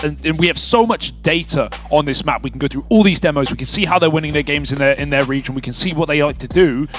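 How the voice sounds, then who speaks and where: Man, esports caster, fairly high voice, enthusiastic and analytical, live match commentary, faint music behind him.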